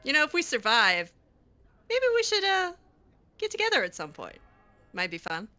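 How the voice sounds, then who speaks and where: Bright, very lively higher-voiced woman. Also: moderate pace. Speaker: gameplay roleplayer voicing own character's replies. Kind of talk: casual improvised in-character roleplay commentary.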